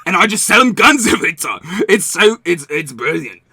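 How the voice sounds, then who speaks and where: Shady, animated merchant, very bright voice. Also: male voice, high-pitched, moderate pace, gravelly, husky, no echo, clean studio sound, heavily acted. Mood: sly, conspiratorial. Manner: rambling, sarcastic, repeats himself. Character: shady, cheeky, scheming zombie-game arms merchant, male. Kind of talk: improvised in-character comedy interview.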